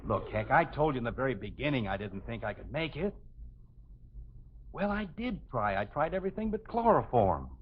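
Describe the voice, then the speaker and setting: A man's voice: mid-range voice, sing-song, normal speed, natural voice. An actor playing a flustered, grumbling middle-aged husband and father in the style of a 1950s radio sitcom, hemming and blustering. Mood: harried. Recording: muffled old 1950s radio broadcast, faint noise.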